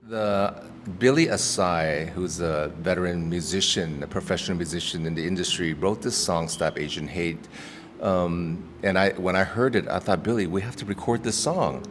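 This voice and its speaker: A man's voice: low-pitched, normal speed. A man, an actor and activist, talking earnestly and reflectively in a casual interview, with frequent restarts and ums. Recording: roomy reverb with background noise.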